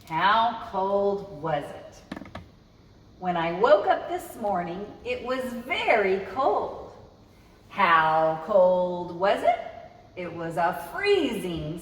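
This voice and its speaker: A woman reading a children's story aloud as a storytime host, expressively and speaking directly to young listeners. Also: medium pitch. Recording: roomy, echoey, distant mic with noise.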